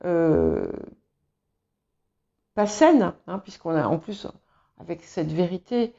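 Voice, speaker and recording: Woman, native English speaker, tarot reader, hesitant, many fillers, slow pace, dull lo-fi recording. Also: on the low side, wildly swooping pitch, creaky, mellow. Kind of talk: tarot reading for viewers. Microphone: small clip-on mic.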